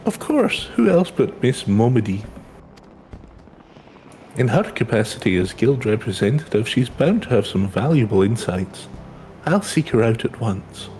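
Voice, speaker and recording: A man's voice: medium pitch, sing-song, a little slow, darkish tone, faint music behind, rough recording. A man voicing an insecure young adventurer from a fantasy game, hesitant, earnest and polite. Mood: earnest, eager. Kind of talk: reading game dialogue aloud in character.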